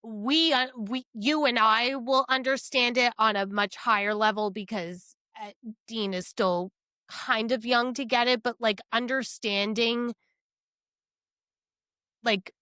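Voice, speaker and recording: A woman's voice: a little high, fairly even intonation, slow pace, sharp tone, clean tone, dry recording, studio quality. A woman co-hosting a podcast, chatting casually and emphatically, with some swearing. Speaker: podcast co-host. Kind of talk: casual book discussion chat.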